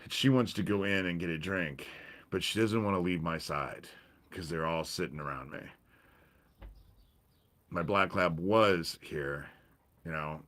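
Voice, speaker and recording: Deep-voiced man, congested from a cold. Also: fairly bright tone. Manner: rambling, pauses, self-interrupting. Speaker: livestream host. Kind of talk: casual livestream chat. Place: back porch outdoors.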